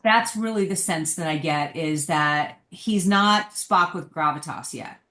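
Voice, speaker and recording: A woman's voice: deep voice, a little slow, bright, forceful tone, slight background noise. A woman chatting casually as a guest on a podcast panel, in roomy sound. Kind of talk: casual chat, discussion.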